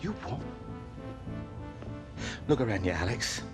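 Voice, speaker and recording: An actor playing a weary, washed-up older football agent, irritable and desperate, in a video game cutscene. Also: male voice, fairly low pitch, animated, slow pace. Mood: bitter, regretful. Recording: dramatic music behind the voice.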